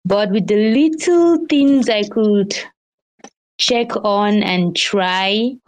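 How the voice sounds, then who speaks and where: Slow-talking woman, earnest and grateful. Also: medium pitch. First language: not English. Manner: earnest and grateful, with small laughs. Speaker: guest artist. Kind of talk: casual chat. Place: online audio space.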